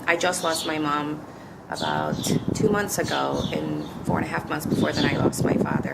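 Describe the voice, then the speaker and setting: A woman's voice: sits low, vocal fry, heavy background noise. A woman in her fifties, originally from Turkey, earnestly answering interview questions as a coffee company co-founder, often restarting her sentences. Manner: reflective, earnest, with frequent restarts.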